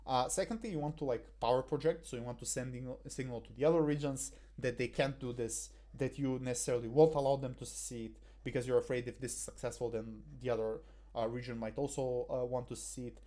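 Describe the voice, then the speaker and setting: A man's voice: mid-range voice, fairly even intonation, quick pace, warm tone. A young man, talking through a debate motion in a hesitant way full of fillers and restarts, on a headset in a small room. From Bulgaria. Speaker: debate coach. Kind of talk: explaining a debate motion over slides.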